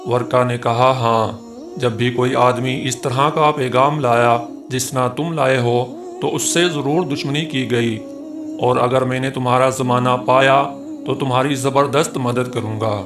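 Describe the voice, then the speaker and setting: A man reading a scripted Islamic story in a measured, formal, reverent storytelling manner. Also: medium pitch, fairly even intonation. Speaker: narrator of religious story channel. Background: music behind the voice.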